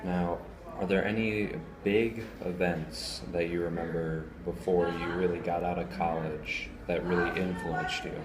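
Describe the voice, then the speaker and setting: A male interviewer asks straightforward questions in a low voice, dropping in a few fillers. Crowd chatter fills the echoey room around him. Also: flat delivery, a little slow.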